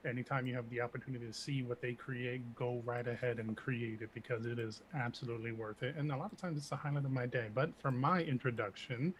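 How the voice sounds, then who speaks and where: A man, an associate producer, chatting in a laid-back, joking way on a quiet mic set too far away. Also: medium pitch, steady tone, fast talker, hardly any pauses, slight background noise. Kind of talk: casual chat.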